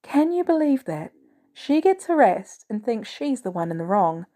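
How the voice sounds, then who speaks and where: A woman voicing a poised, caring female executive with composed, gently teasing delivery in a story reading. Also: a little high, sing-song, normal speed. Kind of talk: storybook character dialogue.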